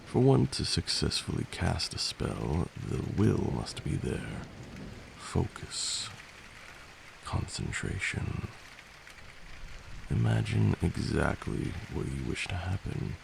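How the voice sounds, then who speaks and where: A man playing a patient, stern vampire lord who teaches magic in a measured, formal ASMR roleplay. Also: low voice, sing-song, vocal fry, noisy background, heavily acted. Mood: solemn, instructive.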